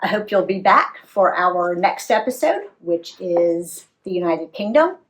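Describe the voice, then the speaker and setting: An American woman reads a travel memoir aloud in an animated, dramatic storytelling style for young readers. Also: fairly low pitch, unhurried, rough recording. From United States.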